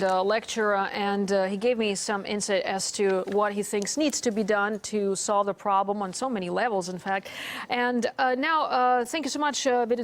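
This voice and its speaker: A woman's voice: mid-range voice, slight background noise. A woman presenting TV news and interviewing a guest, with many hesitant fillers. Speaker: news anchor.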